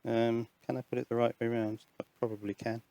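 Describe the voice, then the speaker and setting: A man talks through a hands-on demo in an informal, musing way. His voice has a gravelly creak and sits at a middling pitch. He is recorded close and dry on a headset. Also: flat delivery, quick pace, mellow, dry recording. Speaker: host of an electronics hobby channel. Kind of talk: informal hands-on demonstration narration. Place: indoors at an electronics workbench. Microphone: headset microphone.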